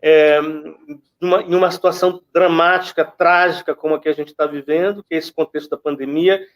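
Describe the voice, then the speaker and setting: A Brazilian man comments as a guest critic in a fairly high voice for a man. He is reflective and hesitant, feeling out his words in conversation. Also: steady tone, a little fast, muffled recording.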